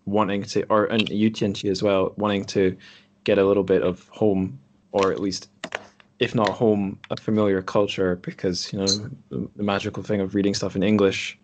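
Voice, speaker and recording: Low-voiced man, chatting casually. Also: flat delivery, normal speed, background noise. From Scotland. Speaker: podcast host. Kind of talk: casual conversation. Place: group online call.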